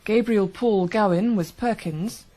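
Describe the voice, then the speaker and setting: A woman announcer reading scripted radio credits in a measured, formal way. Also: mid-range voice, dark tone, poor audio. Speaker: continuity announcer.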